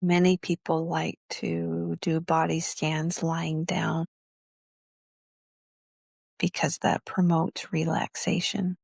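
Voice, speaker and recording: A woman teaching guided meditation in a measured, instructional way, leaving long pauses between phrases. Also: low voice, monotone, gravelly, a little mellow, breathy edge, dry recording, studio quality.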